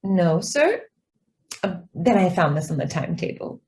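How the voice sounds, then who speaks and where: A woman acts out a young female stenographer in a radio drama. Her voice sits a little low and mellow. She is deferential and measured, yet evasive and quietly persistent. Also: big pitch swings. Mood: calm, persistent. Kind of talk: stage-style radio drama acting.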